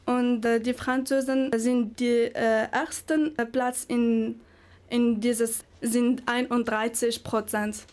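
Give me a woman from Morocco, answering in slow, searching, speech. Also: fairly high pitch, fairly even intonation, darkish tone. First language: not German. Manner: halting, searching for words, many hesitations. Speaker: interviewee. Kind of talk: interview answers.

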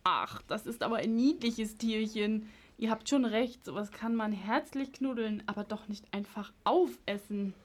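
High-voiced, kindly grandmother played by a young amateur. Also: measured pace. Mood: conceding, gentle. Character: kindly grandmother, cautious but open to persuasion. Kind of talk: amateur audio drama acting.

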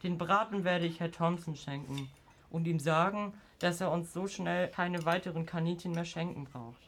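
A man's voice: a little high, normal speed, fairly bright tone, slight background noise. A young male amateur playing a practical, easygoing father in an audio drama. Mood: agreeable.

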